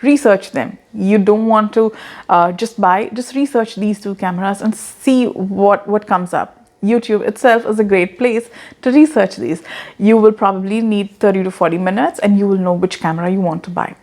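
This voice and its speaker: A woman's voice: mid-range voice, normal speed, darkish tone. A woman in her thirties with an accent mixing Indian and American English, giving earnest, encouraging advice to the camera and often repeating words as she talks. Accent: Indian-American blend. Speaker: online business coach. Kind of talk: casual talking-head advice.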